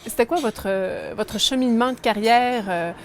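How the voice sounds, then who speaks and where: A woman's voice: fairly high pitch, normal speed, a little hiss or hum. Hesitant woman reporter. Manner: hesitant, stumbling. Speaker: community TV reporter. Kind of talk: casual interview questions.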